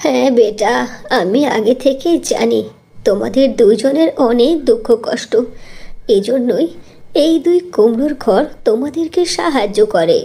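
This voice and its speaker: A woman voicing a kindly, selfless old guardian woman in a fairy tale cartoon, speaking in a gentle, instructive way. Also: fairly high pitch, animated, moderate pace, vocal fry, slightly rough, full character voice. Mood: kindly, solemn.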